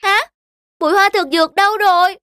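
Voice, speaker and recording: Woman voicing a high-pitched excitable cartoon bunny. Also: a little fast. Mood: shocked, relieved. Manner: exclamatory, theatrical. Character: cute excitable young rabbit building a house. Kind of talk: children's cartoon dubbing.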